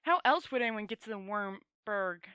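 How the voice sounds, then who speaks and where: A woman's voice: medium pitch, expressive, moderate pace, pushed and bright, smooth, no room sound, clean studio sound. A woman voicing a scornful, sulky male dragon rider from a storybook, sullen and grudging in manner.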